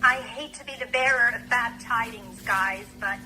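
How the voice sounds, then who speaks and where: An actress playing a frank scientist chatting casually on an internet call in a radio drama. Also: female voice, a little high, normal speed, bright, forceful tone. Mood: concerned. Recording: noisy, humming internet call.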